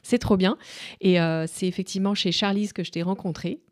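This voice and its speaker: A woman's voice: medium pitch, steady tone, a little fast. Female radio host, mid-range voice, informal and chatty, encourages the guest with interjections. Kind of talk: casual radio conversation.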